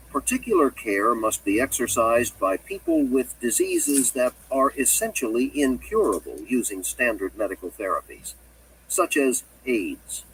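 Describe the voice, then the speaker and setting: A man reading a nonfiction audiobook in a measured, emphatic and articulate way. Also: mid-range voice, far from the mic, a little hiss or hum, low-quality recording. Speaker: audiobook narrator. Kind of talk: scripted nonfiction audiobook narration.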